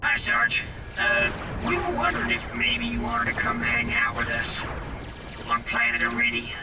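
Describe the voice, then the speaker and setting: A man's voice: medium pitch, monotone, normal speed, natural voice. Man as a sheepish trooper, echoey and distant. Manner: sheepish, hesitant. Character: hesitant, friendly trooper inviting his sergeant. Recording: echoey, distant, loud music.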